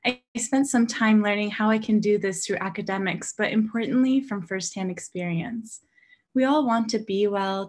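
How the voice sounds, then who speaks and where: A young woman, a public health graduate student, giving a prepared and heartfelt personal presentation over a video call. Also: medium pitch. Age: young adult. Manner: earnest, heartfelt, carefully read delivery.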